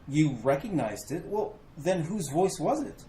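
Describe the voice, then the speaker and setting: A man's voice: on the high side, lively intonation, a little slow, dark tone. A man playing a fussing, protective husband who stammers when flustered, in retro radio mystery style. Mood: concerned, soothing. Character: worried, protective, dismissive husband. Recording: roomy echo, noticeable background noise.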